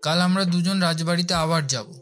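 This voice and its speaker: Man acting young male lead for horror animation: slightly high voice, colloquial, earnest, uneasy, questioning, music underneath. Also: fairly quick, a bit bright. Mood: anxious, reproachful.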